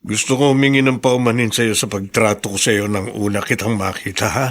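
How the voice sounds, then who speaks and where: A man's voice: on the low side, steady tone, fairly quick, fairly bright tone. A man playing a courteous driver and bodyguard to a mayor in a Filipino radio drama. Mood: courteous, apologetic.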